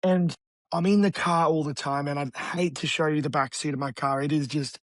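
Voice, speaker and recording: Raspy man, brisk and blunt. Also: fairly high pitch, dry recording, studio quality. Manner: energetic, blunt, matey ("man"). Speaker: guest real estate agent. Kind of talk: casual interview talk.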